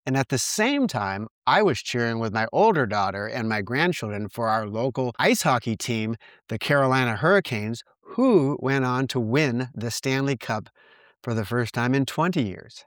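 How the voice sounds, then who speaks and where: A man in his sixties from the United States hosting his own podcast, reading reflective narration in an earnest, measured way. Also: mid-range voice, animated, no echo, clean studio sound. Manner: earnest, measured, reads from a script. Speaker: podcast host.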